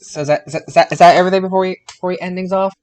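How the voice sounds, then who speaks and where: A man's voice: high voice, steady tone, very fast, a little mellow. A man co-hosting a podcast, making casual remarks about pro wrestling. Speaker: podcast co-host.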